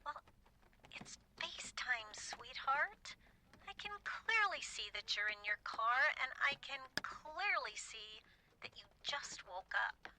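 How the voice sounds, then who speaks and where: A woman's voice: high-pitched, lively intonation, slow, bright, forceful tone, slight background noise, full character voice, poor audio. An actress playing an anxious, smothering middle-aged mother in a horror audio drama, fussing hesitantly with passive-aggressive concern. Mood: worried, coaxing. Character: fretful, smothering, anxious mother of a grown daughter.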